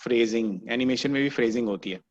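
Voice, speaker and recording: A man's voice: medium pitch, fast talker, darkish tone, highs cut off. A man teaching an online animation class, explaining patiently and checking that students follow. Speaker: animation instructor.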